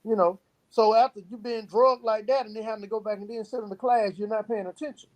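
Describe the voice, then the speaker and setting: A man's voice: high-pitched, steady tone, quick pace, a little mellow, rough recording. A man speaking as an interview guest, giving earnest, emphatic answers and illustrating his points with stories. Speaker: guest, youth program founder. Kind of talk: earnest interview talk with storytelling.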